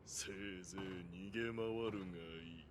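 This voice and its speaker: A man's voice: low voice, steady tone, normal speed, lightly acted. A man voicing a menacing, taunting villain in an anime game, with noisy ambience behind him. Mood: mocking. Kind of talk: Japanese anime game voice acting.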